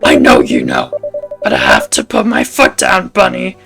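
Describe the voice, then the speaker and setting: A woman voicing a gruff, cranky male landlord in a visual novel, speaking in a stern, gravelly, put-on low voice. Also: huge pitch swings, music in the background, exaggerated voice. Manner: slow, stern, scolding.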